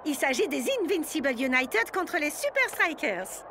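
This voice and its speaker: A woman voicing an excitable match commentator in an animated cartoon. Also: sits high, moderate pace, noisy background. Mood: excited. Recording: loud music behind.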